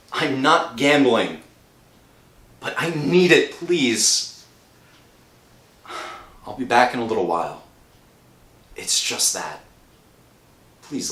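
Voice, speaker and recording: A man playing an anxious, guilt-stricken working-class husband in an old-time radio thriller, speaking in a halting, dramatic way. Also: medium pitch, sing-song, fairly bright tone, full character voice. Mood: pleading. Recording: roomy echo, faint music behind.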